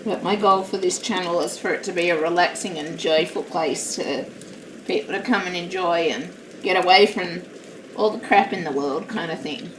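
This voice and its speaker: Loud-voiced woman chatting in a roomy room. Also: lowish voice, normal speed. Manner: rambling, chatty, self-deprecating. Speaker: live-streaming craft hobbyist. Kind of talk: casual chat while crafting. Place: at home. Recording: roomy, distant mic, steady background noise.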